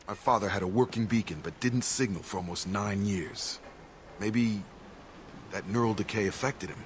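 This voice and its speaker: A man's voice: fairly low pitch, moderate pace, slight background noise, natural voice. A man voicing a serious, troubled soldier who wants answers, in a video game. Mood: pensive.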